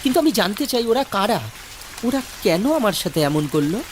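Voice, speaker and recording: A woman's voice: medium pitch, very animated, moderate pace, a little hiss or hum, natural voice. A woman voicing an insistent young village man, urgent and stubbornly pleading in a cartoon drama.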